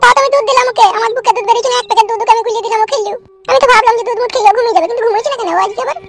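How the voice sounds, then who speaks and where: A woman's voice: extremely high pitch, racing. A woman voicing a quarrelsome young village mother in a comedy cartoon, earthy and chattering. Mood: chatty. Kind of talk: adult comedy cartoon dialogue. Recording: loud noise, clicky amateur cartoon dub.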